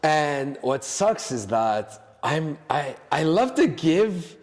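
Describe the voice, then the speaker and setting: A man's voice: a little high, big pitch swings, slow pace. A man talking casually as a podcast guest, giving advice emphatically in short, repeated imperatives, with long reverb around his voice. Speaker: podcast guest, cooking social media creator. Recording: echoey hall-like reverb.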